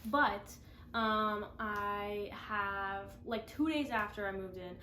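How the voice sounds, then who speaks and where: A woman's voice: medium pitch, steady tone, slow, pushed and bright, a little hiss or hum. A woman in her twenties, congested from the flu, chatting casually in a rambling vlog style full of "like"s, in her apartment. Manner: rambling, many "like"s and restarts. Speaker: vlogger. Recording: echoey room with faint ambience.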